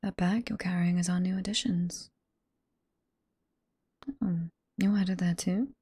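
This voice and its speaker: Casting: actress as teasing older female librarian, British accent, ASMR roleplay. Hushed, very airy whisper, slow and sweet. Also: fairly low pitch, fairly even intonation, no room sound, studio quality. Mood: warm, curious. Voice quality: soft, breathy whisper.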